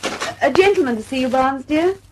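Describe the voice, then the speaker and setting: An actress in an old radio drama playing a gentle, apologetic, slightly flustered wife with a genteel manner. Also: female voice, high voice, expressive, brisk, rough recording. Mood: polite. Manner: genteel, fluttery.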